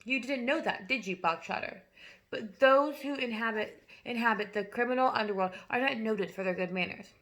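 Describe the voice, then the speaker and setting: Brief: a woman voicing a furious bully headmistress, slow, booming, high and sharp. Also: lightly acted. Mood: mocking. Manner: barking, bellowing insults.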